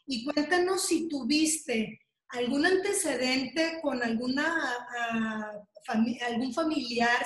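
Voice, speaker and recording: A Mexican woman with a high, bright pitch hosts an interview and keeps the conversation flowing. She talks in a chatty way, often pausing on "este". Her voice rings in a noticeably echoey room. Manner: chatty, with many "este" fillers. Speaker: interview host. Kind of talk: video call interview.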